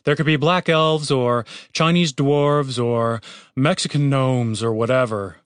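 A man's voice: mid-range voice, fairly even intonation, measured pace, smooth, no room sound, clean studio sound, close to an everyday voice. Man doing a whiny, exasperated young bard. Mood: exasperated explaining. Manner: whiny, sweary, explaining.

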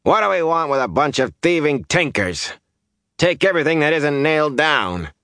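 Man acting a loud scornful villager, pitched high. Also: sing-song, moderate pace, no echo, clean studio sound, exaggerated voice. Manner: loud, sneering. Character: sour, scornful male villager, boastful and quarrelsome.

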